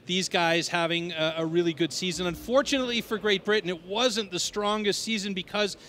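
A male sports commentator with a high, forceful voice, speaking over faint background noise. He talks at length in an emphatic, conversational way, as in live event coverage. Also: brisk.

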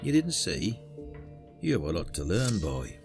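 Casting: male actor as shrewd retired village sleuth, lowish voice, swooping intonation, colloquial, condescending. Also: huge pitch swings, measured pace, a little mellow, faint music behind, exaggerated voice. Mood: wry, instructive. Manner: colloquial, teasing, folksy. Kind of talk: audio drama acting.

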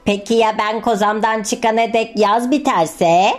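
A woman's voice: on the high side, steady tone, measured pace, soft background music, voice pushed down, natural voice. A woman voicing an impatient, eager young caterpillar in a children's storybook, with a complaining childlike delivery.

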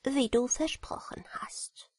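A woman's voice: slow, a little mellow, no echo, whispered, lightly acted. A woman voicing a small, solemn dwarf king from a fairy tale, pleading in earnest, formal speech. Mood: solemn, urging.

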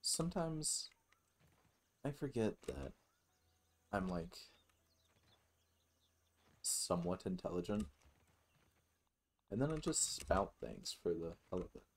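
A man with a low voice hosts a casual gaming video. He thinks out loud as he plays, rambling loosely and dropping swear words as he goes. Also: big pitch swings, slow, pauses often, slightly rough. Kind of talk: casual let's play commentary.

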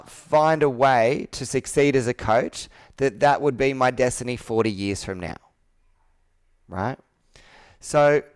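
A man in his thirties speaks in a smooth, resonant voice with a dry, close sound. He talks like a coach on a sales call, earnest and persuasive. He leans on personal stories and rhetorical questions to make his point. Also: medium pitch, no room sound. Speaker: business coach. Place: indoor room with whiteboard, video call.

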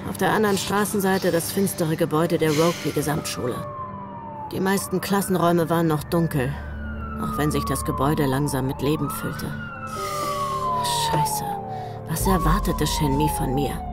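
Hard-bitten young female narrator, low, terse and sardonic, over loud music in an audio drama. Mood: sardonic, irritated.

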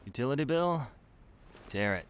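An actor playing a cocky, wisecracking young demon hunter with a sardonic, swaggering manner in a video game cutscene. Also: male voice, mid-range voice, lively intonation, moderate pace, slight background noise, lightly acted. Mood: nonchalant. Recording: loud music behind the voice.

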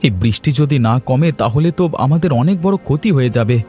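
A man's voice: medium pitch, expressive, quick pace, warm tone, close to an everyday voice. A man voicing a deferential younger brother, a village farmer, in a cartoon, with music behind him. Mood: worried. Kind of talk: cartoon dialogue acting. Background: background music.

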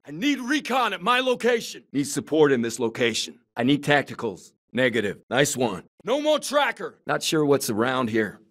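An actor playing a grim, hardened middle-aged survivor turned soldier, giving terse, commanding military callouts in a shooter game. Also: male voice, fairly high pitch, wildly swooping pitch, normal speed, few pauses, a bit bright, full character voice. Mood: focused, businesslike. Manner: terse, commanding, urgent barks.

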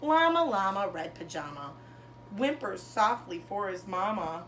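A woman in her thirties, a teacher reading a children's storybook aloud to pupils with expressive, sing-song phrasing and emphasized words. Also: medium pitch, unhurried, a little hiss or hum, poor audio. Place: bedroom, indoors. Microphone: camera a couple of metres away.

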